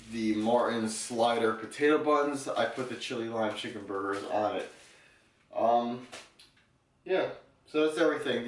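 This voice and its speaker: Relaxed young man chatting to camera. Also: medium pitch, a little hiss or hum. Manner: relaxed, explanatory, filler-heavy. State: getting sick. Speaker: fitness vlogger. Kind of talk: casual vlog talk to camera.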